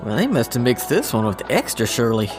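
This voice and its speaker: A man acting a goofy, animated sidekick agent. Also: mid-range voice, brisk, music in the background, natural voice. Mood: giddy. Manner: folksy, enthusiastic, colloquial. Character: goofy, excitable, good-natured male agent. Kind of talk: comedic audio drama acting.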